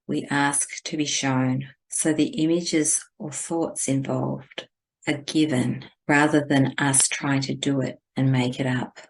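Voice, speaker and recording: Woman with a really deep voice doing a guided meditation, super slow and gentle. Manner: gentle, deliberate, instructing. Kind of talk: guided meditation, spiritual narration.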